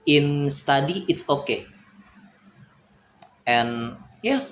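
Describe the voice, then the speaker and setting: A young man whose first language is Indonesian, speaking English with an Indonesian accent as a student presenter, reading answers aloud and adding his own comments, halting and often repeating words. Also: a little high, animated, a bit bright, slight background noise.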